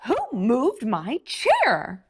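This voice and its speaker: A woman's voice: fairly high pitch, huge pitch swings, drawn-out words, breathy edge, full character voice. Woman voicing a huffy, grumpy sour grape. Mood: grumbling, resentful. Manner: exaggerated huffy complaining with growls and grunts. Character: grumpy, grudge-holding sour grape, snappy and put-upon. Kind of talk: picture-book character voice.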